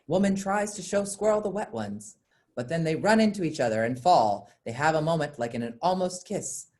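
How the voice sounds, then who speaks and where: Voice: medium pitch, lively intonation, normal speed, darkish tone. A narrator reading out scripted stage directions for a staged play reading.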